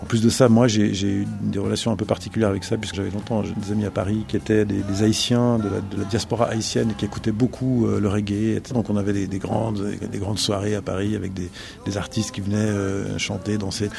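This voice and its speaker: A middle-aged man talking on the radio about songs he loves, thoughtful and pausing often to find his words. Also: on the low side, level tone, gravelly, a little mellow. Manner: thoughtful, searching for words, many pauses and restarts. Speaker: radio guest, broadcasting executive. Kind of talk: reflective radio talk about favorite songs.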